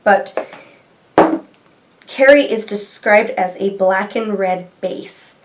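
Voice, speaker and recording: A woman's voice: medium pitch, slow, rough recording. A woman in her thirties with a stuffy nose from allergies, chatting casually and rambling as she reviews products in a small room at home. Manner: chatty and rambling, gushing over colors. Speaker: beauty vlogger. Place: small bedroom filming nook, indoors.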